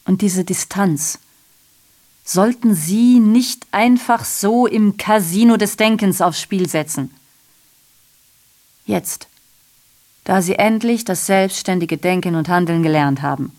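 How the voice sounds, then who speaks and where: An actress playing an ironic, rambling messenger in a radio drama monologue, sardonic, declamatory and interrupting herself. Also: female voice, mid-range voice, unhurried, pauses often, a little mellow, lightly acted. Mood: lecturing, ironic. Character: ironic, rambling female messenger addressing the audience.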